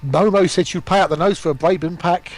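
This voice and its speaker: Man, fairly high voice, casual stream chat, participant chipping in. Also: fairly quick, a little hiss or hum.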